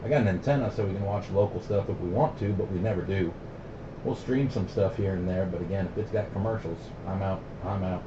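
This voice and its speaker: A middle-aged American man with a lowish voice chats casually over CB radio. The audio is dull and roomy with clear noise, and he talks in a plain-spoken, opinionated way with radio slang. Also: brisk. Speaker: CB radio operator hosting a radio night. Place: CB base radio station.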